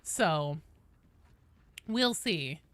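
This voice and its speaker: A woman hosting a game livestream, chatting casually with viewers in an animated, informal, freely swearing way. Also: low voice, drawn-out words. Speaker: live streamer hosting a game stream.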